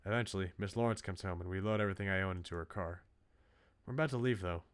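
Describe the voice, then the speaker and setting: A man's voice: low voice, quick pace, clean tone. A man doing casual livestream commentary, reading a visual novel aloud with playful, dry asides. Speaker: game streamer. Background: game music.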